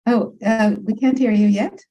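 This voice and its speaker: A woman's voice: fairly high pitch, steady tone, moderate pace, mellow, muffled recording. A woman hosting an online meditation program, speaking to the group over a video call with welcomes and announcements.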